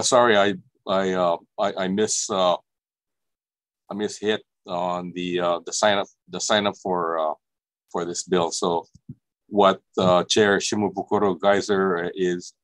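An older man on a video call from a cluttered office, speaking haltingly with many restarts. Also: sits low, no room sound. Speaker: agency official testifying. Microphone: webcam on a video call.

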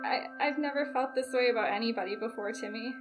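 A woman's voice: on the high side, fast talker, close to an everyday voice. A woman in her thirties playing a lovestruck, nervous, earnest young woman in an improvised soap opera, hesitant and gushing. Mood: tender, nervous. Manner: gushing, hesitant, self-deprecating. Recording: loud piano music behind.

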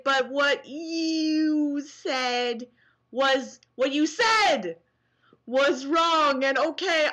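A woman's voice: fairly high pitch, bright, forceful tone. A woman in her twenties vlogging to a webcam, mixing casual sarcastic commentary with mock-dramatic, theatrical reading. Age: 20s. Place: small dim bedroom, indoors.

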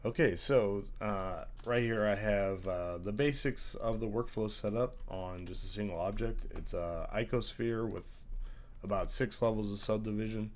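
Low-voiced congested man explaining software. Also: moderate pace. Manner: hesitant, many "uh" fillers. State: strained, congested voice from illness. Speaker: tutorial creator. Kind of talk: screen-recorded software tutorial.

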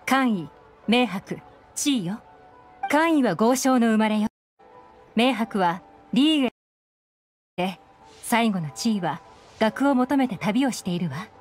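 A woman's voice: a little high, animated, slow pace, long pauses, soft background music. An actress playing a young, enigmatic female covert agent, confident and playfully composed, in an anime-style video game. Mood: composed, businesslike. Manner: playful, self-assured, composed.

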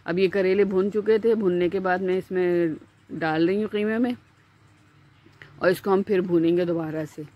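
A woman with a Pakistani Urdu accent, hosting a home cooking channel and explaining each step in a chatty voice-over with small pauses. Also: fairly low pitch, fairly even intonation, highs cut off. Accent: Urdu-speaking Pakistani.

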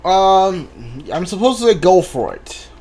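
High-pitched man casually commenting on gameplay. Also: very animated, takes it slowly, a little mellow, slight background noise. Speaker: gameplay streamer.

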